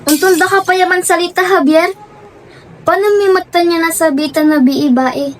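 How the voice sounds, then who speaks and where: A woman's voice: very high-pitched, normal speed, a little hiss or hum. A young woman with a Pangasinan accent playing a bold leader of a group of friends in a student radio drama. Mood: questioning, urging. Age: young adult.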